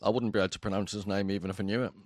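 Lower-voiced man with teasing wit. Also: fairly even intonation, racing, a little mellow, no echo. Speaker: guest. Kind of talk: casual chat.

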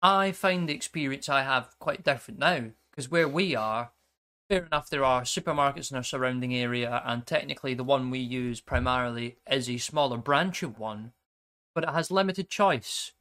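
A man's voice: mid-range voice, normal speed, sharp tone. A man who grew up in Scotland, chatting casually as co-host and rambling with self-corrections. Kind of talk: casual podcast chat.

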